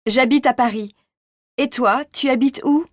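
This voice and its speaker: A woman voices practice phrases for a language course, her voice slightly high. She speaks slowly and pronounces each word with care, in a dry studio recording. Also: no room sound. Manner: clear, carefully articulated. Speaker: textbook audio voice actor. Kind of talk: slow scripted dialogue reading.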